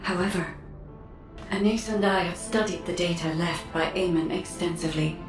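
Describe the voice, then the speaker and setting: An actress playing a solemn, devoted female guide, a created being in a video game, speaking gravely and deliberately. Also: on the low side, natural voice. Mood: grave, determined. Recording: echoing, distant, over prominent music.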